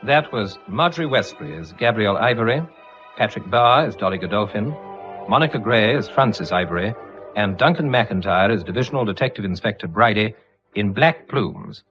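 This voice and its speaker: A man's voice: on the low side, expressive, normal speed, soft background music. A man with an English accent reading formally as an old-time radio announcer. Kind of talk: formal scripted credit reading, mid-century radio. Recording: dull, muffled vintage radio recording.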